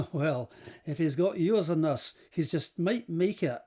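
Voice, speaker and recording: A man playing a grave, courteous village doctor with a measured manner in a period audio drama. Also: fairly high pitch, lively intonation, darkish tone. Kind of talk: period radio-play acting.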